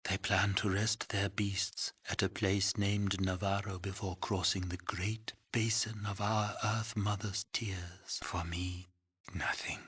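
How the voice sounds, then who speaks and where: An aged village mystic for a video game. He speaks very slowly in a breathy, husky voice on the low side. His phrasing is solemn, ceremonious and full of cryptic poetry. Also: little pitch movement, fairly bright tone, full character voice. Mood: weak, grieving. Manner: slow, ceremonious, poetic phrasing. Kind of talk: dramatic video game character acting.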